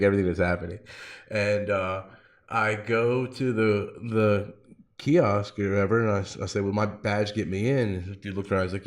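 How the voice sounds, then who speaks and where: A man hosting a podcast, telling stories in a casual, slangy way peppered with "like". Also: fairly low pitch. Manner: informal, slangy, frequent 'like'. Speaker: podcast host. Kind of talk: casual chat, personal storytelling.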